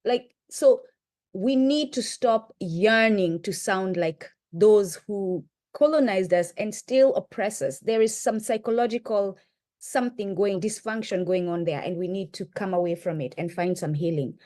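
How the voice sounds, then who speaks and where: A woman's voice: unhurried, mellow. Passionate Kenyan woman lecturing. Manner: emphatic, rising pitch on key points, frequent "right?". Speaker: podcast co-host presenting. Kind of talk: impassioned lecture-style talk.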